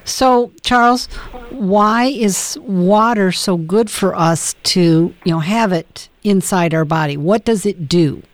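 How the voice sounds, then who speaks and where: A woman's voice: medium pitch, slow pace, few pauses. A woman co-hosting a radio talk show, leading the conversation with questions. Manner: inquisitive, leads with questions. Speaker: co-host. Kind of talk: radio interview.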